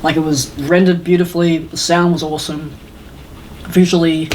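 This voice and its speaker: Podcast host, mid-pitched, casual panel chat, rambling, opinionated, mimics imagined lines, faint noise behind. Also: little pitch movement, normal speed, a little mellow, rough recording. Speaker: podcast host reviewing video game films.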